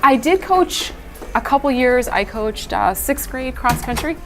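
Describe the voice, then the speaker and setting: A woman's voice: high voice, lively intonation, unhurried. A middle-aged woman answering interview questions as a teacher and coach, speaking earnestly and reflectively in a conversational way. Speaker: interviewee, high school teacher and track head coach.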